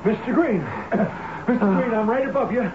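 An actor playing a mild-mannered, reluctant underling in a radio drama, hesitant and deferential. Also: male voice, sits high, expressive, moderate pace. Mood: anxious. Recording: noisy, dull lo-fi radio drama recording.

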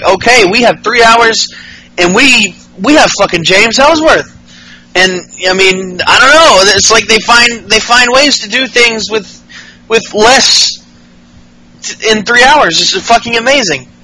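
A man's voice: high voice, pushed and bright, breathy edge, rough recording. A man in his late twenties co-hosting a podcast, chatting casually about wrestling, profane and rambling with many restarts. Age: 20s. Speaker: podcast co-host.

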